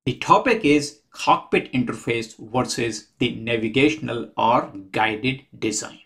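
Man, fifties, host, mid-pitched, methodical, webcam in a small home room. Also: a bit bright. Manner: measured, methodical, counts points off one by one. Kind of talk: explanatory talk to camera.

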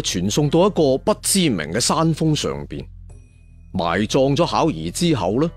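Animated, husky male storyteller. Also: medium pitch. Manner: colloquial, addresses listeners with rhetorical questions. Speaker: audiobook storyteller. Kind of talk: dramatic traditional storytelling narration.

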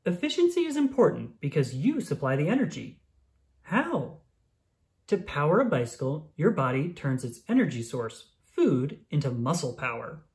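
Children's book narrator, scripted read-aloud, animated intonation, medium pitch, expressive delivery for kids. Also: moderate pace.

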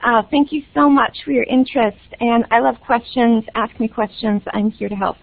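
A woman's voice: moderate pace, creaky. Upbeat woman librarian on a tinny line. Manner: upbeat, enthusiastic sales-pitch delivery. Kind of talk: webinar presentation. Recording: phone-like webinar audio.

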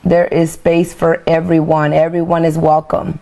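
A woman in her thirties interpreting a pastor live at a church stream, relaying his words quickly with fillers and light laughs, seated in a small room. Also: low voice, completely flat delivery, vocal fry, mellow. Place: small indoor room, seated before a painting.

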